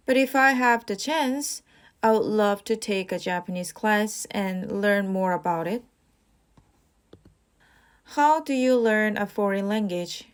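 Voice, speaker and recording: A young woman speaking English, reading out speaking-test questions and answering each one. She talks slowly and evenly, at a middling pitch, with rehearsed fillers like "well" and "you know.". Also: clean tone. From South Korea. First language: Korean. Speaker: IELTS practice test speaker. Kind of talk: model answers for a speaking exam.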